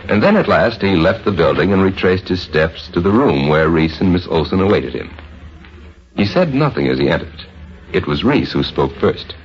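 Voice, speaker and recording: A man's voice: sits low, very animated, moderate pace. A man narrating a scripted story in the style of old-time radio. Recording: dull old radio recording, faint music.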